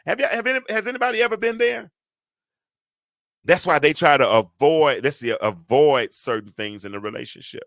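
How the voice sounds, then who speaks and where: A middle-aged man hosting a talk, with a deep, stentorious voice, lecturing a group in a lively, preacher-like way, full of rhetorical questions and big swings between loud and quiet. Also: moderate pace, creaky, dry recording, studio quality. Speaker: host.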